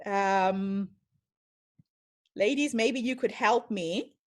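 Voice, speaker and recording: A woman's voice: mid-range voice, very slow. A woman giving an online lecture and answering questions in an enthusiastic, storytelling manner over a video call from her home. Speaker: speaker.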